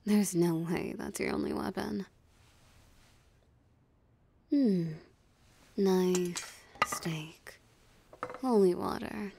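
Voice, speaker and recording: A woman voicing a possessive, teasing female vampire in an ASMR roleplay, with a breathy, half-whispered, sultry and taunting delivery. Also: medium pitch, mellow, slight background noise. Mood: taunting, amused. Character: possessive, teasing female vampire savoring her captured prey. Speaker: voice actor.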